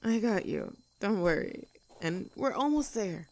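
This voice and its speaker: A woman's voice: mid-range voice, sing-song, unhurried, darkish tone, rough recording. A woman playing a young, outdoorsy tomboy friend in an audio roleplay, chatting casually in an upbeat, caring and reassuring way. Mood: caring, encouraging. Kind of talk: roleplay audio acting, casual intimate chat.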